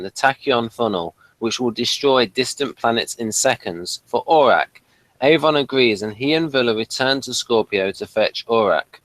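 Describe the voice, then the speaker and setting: A man's voice: medium pitch, a bit bright, dry recording, low-quality recording. Man hosting a review, dry close sound. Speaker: podcast review host. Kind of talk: reading an episode summary aloud and chatting.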